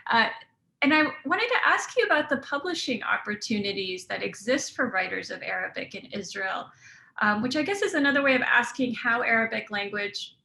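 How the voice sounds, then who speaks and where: A woman moderator poses long questions in a panel discussion in a measured, hedging way. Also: mid-range voice, creaky, sharp tone. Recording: echoey room with faint noise.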